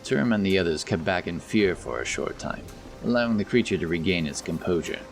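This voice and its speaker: A man's voice: deep voice, expressive, brisk, gravelly, faint music behind. A man narrating a scripted fantasy story as the storyteller of an audio drama.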